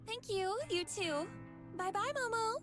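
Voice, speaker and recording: A man's voice: very high voice, unhurried, music in the background, voice pushed up. A man voicing a shy, sweet, polite little girl in a visual novel.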